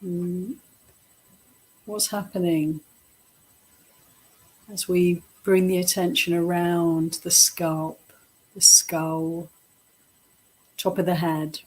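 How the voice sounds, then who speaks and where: Husky, slow-paced woman guiding meditation. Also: lowish voice, level tone, rough recording. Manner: gentle, unhurried, pausing between short phrases. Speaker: meditation teacher leading a guided practice. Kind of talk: guided meditation, softly instructive.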